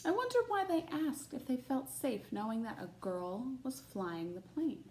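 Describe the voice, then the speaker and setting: A woman's voice: high voice, sing-song, unhurried, darkish tone, slight background noise. A woman teacher reading a children's picture book aloud expressively, with short asides to her listeners.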